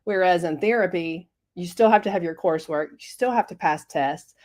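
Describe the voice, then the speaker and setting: A woman's voice: lowish voice, normal speed, a little mellow. Scratchy-voiced woman recovering from bronchitis. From Tennessee. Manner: hesitant, many fillers. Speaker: guest, health coach. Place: home office. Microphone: video call.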